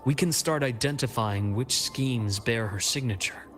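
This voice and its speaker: A man's voice: mid-range voice, a bit bright, soft background music, lightly acted. Composed, shrewd male investigator played by an actor. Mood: measured, cautious. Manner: measured, deliberate, analytical. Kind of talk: scripted video game dialogue.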